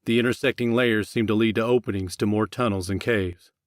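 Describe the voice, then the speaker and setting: A man's voice: fairly low pitch, steady tone, brisk, dry recording, clean studio sound. A man reading scripted fiction as an audiobook narrator.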